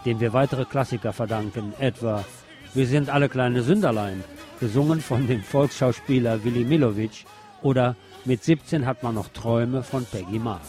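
A man's voice: mid-range voice, normal speed, a little mellow. A man presenting a scripted music-history narration in literate, formal wording with a dryly ironic manner. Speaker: host.

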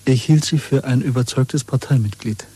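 A man's voice: mid-range voice, moderate pace, dark tone, slightly rough, lightly acted. An actor in a German radio drama playing a wary, weary man nearing forty who speaks in a guarded, terse way.

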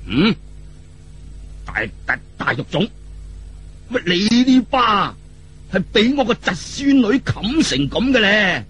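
A man's voice: high-pitched, very animated, fairly bright tone, a little hiss or hum, exaggerated voice. A man voicing a boastful, contrary old martial artist with a heavy stutter, blustering and drawing out his words in a storybook telling. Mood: boastful surprise. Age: older. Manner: blustering, drawn-out delivery.

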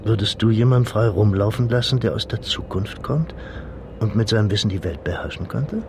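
An actor playing a wry, urbane dissident from the future in a radio drama, gravelly and dryly ironic. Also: male voice, lowish voice, brisk, close to an everyday voice. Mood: dry irony. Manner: dry, ironic. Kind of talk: German radio drama acting. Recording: noisy ambience behind.

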